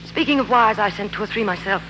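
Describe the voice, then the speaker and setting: A woman's voice: mid-range voice, fast, a bit bright, natural voice. A woman playing a sceptical, independent career woman in a 1940s radio drama, with an American accent and a dry, clipped manner. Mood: guarded, apologetic. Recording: noisy, humming 1940s radio recording.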